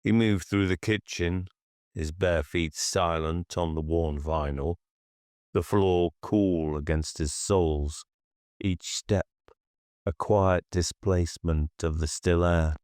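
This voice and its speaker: Deep, slow male audiobook narrator. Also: steady tone, darkish tone, dry recording, clean studio sound. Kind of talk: scripted horror fiction narration.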